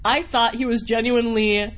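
A woman's voice: on the high side, steady tone, moderate pace. An American woman giving casual interview answers as a voice actor, chatty and rambling, with a giggly, self-deprecating way of talking. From United States (Wisconsin). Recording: noticeable background noise.

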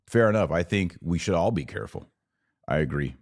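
A man with an exceptionally deep voice hosts a casual live podcast. He talks conversationally, reading comments from viewers and answering with his own commentary. The sound is dry, with little room around the voice. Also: expressive, fairly quick, no echo. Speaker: podcast host.

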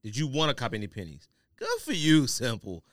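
Man, podcast co-host, casual slangy banter, very lively intonation, dry close sound. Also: medium pitch, fairly quick, no echo. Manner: slangy, joking. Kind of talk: casual banter about sneakers.

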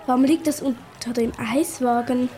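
A practical, inquisitive young girl detective in a children's radio play, acted by a female performer. She has a high voice in a clearly noisy recording. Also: fairly even intonation, normal speed, warm tone, lightly acted. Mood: curious.

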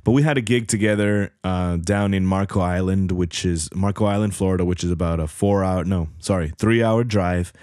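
A man hosting his own podcast, talking casually with a hoarse voice, rambling with lots of fillers and self-corrections. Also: low-pitched, normal speed, few pauses, a little mellow, dry recording, studio quality. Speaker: podcast host. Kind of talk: casual solo podcast intro.

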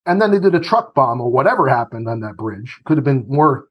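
A man's voice: mid-range voice, sing-song, fairly quick, slightly rough, dull audio. A man giving his opinions as an interview guest, emphatic and argumentative, often acting out quoted voices. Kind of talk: opinionated political commentary.

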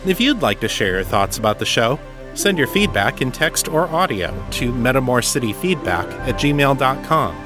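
A man hosting a solo podcast, reading and answering listener letters in an articulate, measured, explanatory way. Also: mid-range voice, big pitch swings, over music. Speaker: podcast host and novelist.

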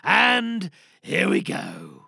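A man's voice: sits high, huge pitch swings, very slow, gravelly, bright, forceful tone, slightly rough, no room sound, clean studio sound. A man hosting a podcast in a casual, chatty, playful way with a bit of showmanship. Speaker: podcast host and amateur voice performer.

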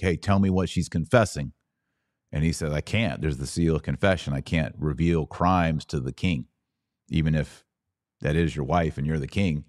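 Deep-voiced man hosting a podcast. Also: darkish tone, no echo, studio quality. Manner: storytelling, explains at length. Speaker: podcast host. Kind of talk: casual conversational podcast.

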